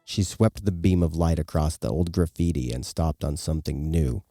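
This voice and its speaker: A man's voice: extremely low pitch, normal speed, mellow. A man reading scripted horror story narration for an audio drama. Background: music.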